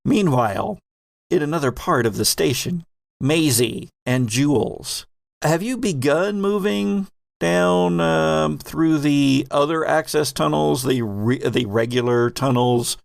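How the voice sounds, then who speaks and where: Creaky-voiced man narrating a tabletop game. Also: a little high, slow pace, dry recording, studio quality. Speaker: game master and host.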